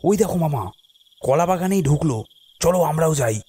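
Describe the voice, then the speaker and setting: High-pitched curious young nephew. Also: male voice, normal speed, husky. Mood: curious, uneasy. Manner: wondering, expressive. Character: curious young nephew telling his adventure story. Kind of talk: animated cartoon storytelling.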